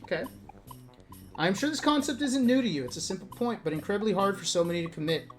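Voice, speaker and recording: Background music plays under a streamer with a mid-pitched voice reading aloud. The delivery is casual and wry, slipping out of the text into off-the-cuff comments addressed straight to viewers. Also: expressive, fast talker. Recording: music playing behind the voice.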